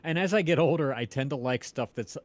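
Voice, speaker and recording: A man's voice: a little high, fairly quick, no room sound. A man co-hosting a casual, opinionated chat about music. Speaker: co-host.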